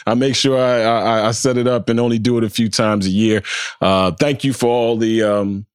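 A man's voice: mid-range voice, steady tone, brisk, clean tone, no echo, clean studio sound. A man hosting a podcast interview, chatting casually with lots of affirmations and a tendency to repeat himself. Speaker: podcast host.

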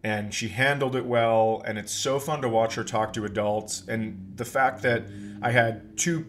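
A man's voice: medium pitch, fairly even intonation, unhurried, a little hiss or hum. Middle-aged American man, casual comedic storyteller. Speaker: podcast co-host, comedian. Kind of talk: casual comedic podcast chat.

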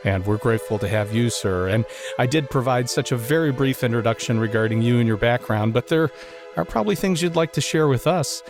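A man hosting a podcast, reading a measured, formal introduction and then asking his guest questions. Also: medium pitch, lively intonation, music in the background. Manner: measured, formal announcer delivery. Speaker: podcast host. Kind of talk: scripted show intro and interview questions.

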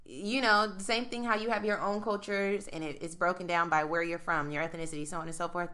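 A woman's voice: on the low side, brisk, a little hiss or hum. A young woman co-hosting a podcast, telling a work story in casual chat with a strong voice, acting out both sides of a phone call. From United States. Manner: animated storyteller, acts out phone calls. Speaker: podcast co-host.

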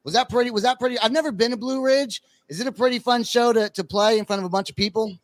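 A man's voice: very high voice, fast talker, no echo. A man hosting a podcast, talking informally in a casual interview style. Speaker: podcast host.

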